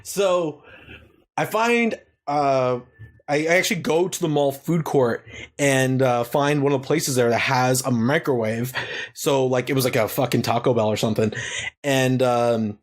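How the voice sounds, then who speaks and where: A man's voice: medium pitch, a little slow. A young man telling a long crude story on a casual comedy podcast, rambling and full of swearing. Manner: crude, profane, rambling anecdotes. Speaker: podcast co-host.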